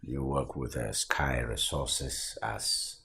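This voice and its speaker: An actor playing a stern older father who slowly and probingly questions his daughter's suitor. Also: steady tone, sharp tone, full character voice. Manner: slow, probing, deep and gravelly.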